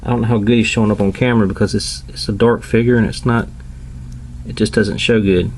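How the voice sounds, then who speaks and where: Man, fairly low pitch, casual hands-on reviewer, halting step-by-step explanations, noticeable noise in the recording. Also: steady tone. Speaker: toy reviewer. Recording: clearly noisy home recording.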